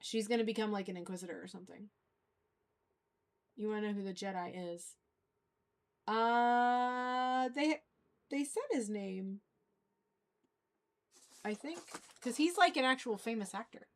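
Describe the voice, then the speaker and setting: A high-pitched woman talks as a livestream host in a loose, conversational way. She rambles haltingly, letting thoughts trail off before picking them up again. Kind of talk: casual fan chat.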